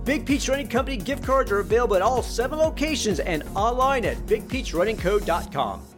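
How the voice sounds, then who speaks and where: A woman reads a scripted ad in a fairly high, lively voice that moves up and down a lot. Clear music plays behind her. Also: brisk. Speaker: ad announcer. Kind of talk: scripted commercial read.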